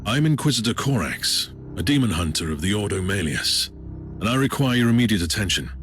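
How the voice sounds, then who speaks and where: A man's voice: fairly low pitch, sing-song, a little fast, fairly bright tone, soft background music. An actor playing a self-important male demon hunter in a video game, imperious, curt and urgent. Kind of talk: video game cutscene acting.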